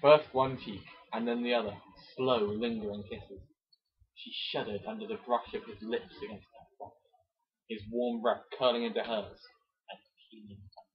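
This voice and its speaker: A man with a husky voice doing an amateur mock-dramatic reading of a romance novel, with chatty asides. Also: on the low side, fairly even intonation, moderate pace. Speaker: amateur narrator. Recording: dull lo-fi home recording.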